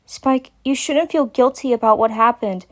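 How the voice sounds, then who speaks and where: Woman as young unicorn mare in a dramatic reading: raised pitch, motherly, soothing, earnest, firm when needed. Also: moderate pace, lightly acted. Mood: reassuring.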